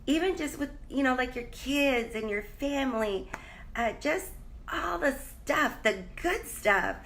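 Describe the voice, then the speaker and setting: A woman's voice: high-pitched, expressive, measured pace, a bit bright, a little hiss or hum. A woman life coach, sounding congested, chatting casually and rambling in a live stream from a hotel room. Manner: chatty, rambling, greets viewers by name. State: congested, slight cough.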